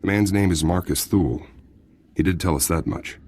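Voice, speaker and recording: A chatty army prison guard in a game, super low voice, folksy and deferential. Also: male voice, moderate pace, a little mellow. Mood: apologetic, chatty. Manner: folksy, informal, respectful. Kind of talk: video game acting.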